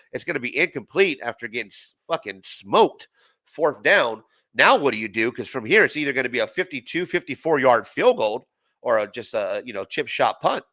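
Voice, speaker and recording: A congested man in his forties runs a casual live watch-party stream, mixing play-by-play with chatter and the odd curse. His voice comes across bright and forward. The sound is close and dry, with no room around it. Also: medium pitch, no room sound. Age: 40s. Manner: loose, profane, chatty, laughs at his own jokes. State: congested from a cold.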